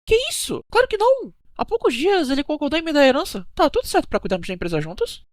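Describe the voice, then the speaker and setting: A woman playing a touchy, evasive cruise hostess in an animated mystery, bickering and chatty, with a Brazilian accent. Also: sits high, quick pace, dry recording, studio quality. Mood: defensive. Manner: defensive, gossipy, bickering. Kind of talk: animated murder-mystery dialogue.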